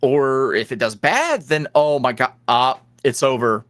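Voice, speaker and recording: A man guest on a podcast, an ASMR roleplay creator, talking candidly in a rambling, filler-heavy way that swings from lively bursts to low mutters. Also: measured pace, no echo, clean studio sound. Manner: swings between animated highs and low mutters. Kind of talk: casual interview chat.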